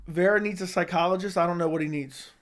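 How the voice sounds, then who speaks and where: A slightly hoarse young man hosting a casual live chat, answering viewers with blunt opinions. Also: sits high, fairly even intonation, quick pace. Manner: opinionated, talks straight to chat. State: slightly hoarse, losing his voice. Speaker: livestream host answering chat.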